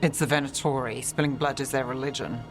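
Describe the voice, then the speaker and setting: A woman playing a haughty, sharp-tongued human mage in a fantasy game, cool and imperious. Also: extremely low pitch, fairly even intonation, quick pace, music in the background. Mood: wary, cool.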